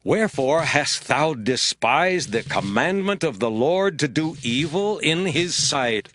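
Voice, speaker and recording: A solemn prophet, sweeping intonation. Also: male voice, on the high side, sing-song, slow pace, a bit bright, a little hiss or hum, exaggerated voice. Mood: thundering rebuke. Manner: solemn, declamatory. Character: stern Hebrew prophet, grave storyteller rebuking a king. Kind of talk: dramatized Bible audiobook acting.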